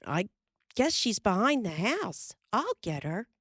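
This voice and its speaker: A woman voicing a scared young pioneer girl with a high, pleading voice. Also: very animated, slow pace, husky, no room sound, clean studio sound, exaggerated voice.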